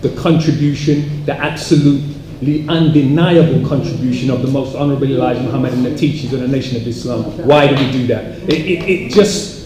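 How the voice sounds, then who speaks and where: A man putting a rhetorical question from the audience in a preacherly, deliberate way, repeating phrases. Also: medium pitch, fairly even intonation, over a noisy crowd. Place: public event hall. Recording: echoey hall, faint background noise.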